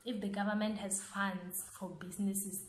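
A young woman with a South African accent speaking earnestly and deliberately, rephrasing as she gives motivational advice in an interview. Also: mid-range voice, level tone. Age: young adult. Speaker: interviewed young entrepreneur, business owner. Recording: echoey, roomy sound.

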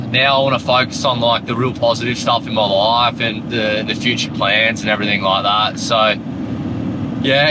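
A man, giving viewers a relaxed, rambling update full of fillers and restarts. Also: medium pitch. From Australia. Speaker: travel vlogger. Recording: loud background noise.

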